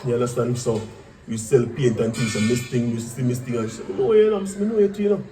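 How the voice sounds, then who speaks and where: A man with a Jamaican accent telling stories casually in Patois in an animated way, acting out what people said. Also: medium pitch, very fast, soft background music. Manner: animated, acts out quoted speech. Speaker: musical artist telling career stories. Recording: distant mic with faint background noise.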